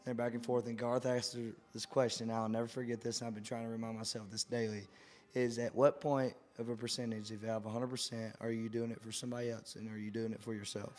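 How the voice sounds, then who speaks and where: A man's voice: medium pitch, flat delivery, quick pace, a little mellow. A man co-hosting a podcast, reflecting in casual chat over drinks.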